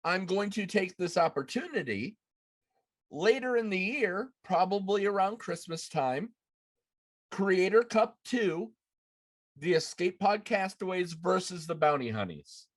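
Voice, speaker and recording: A man in his thirties with a slightly high voice hosts a live podcast. He talks casually, like someone chatting over a video call from a room at home. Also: lively intonation, unhurried. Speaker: podcast host. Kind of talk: casual chat on a live show. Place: small room at home, indoors.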